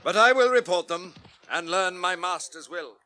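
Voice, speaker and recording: Formal British door warden for radio fantasy: high, forceful, stern, dutiful. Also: male voice, animated, moderate pace. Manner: proclaiming, formal. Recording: faint background noise, radio drama.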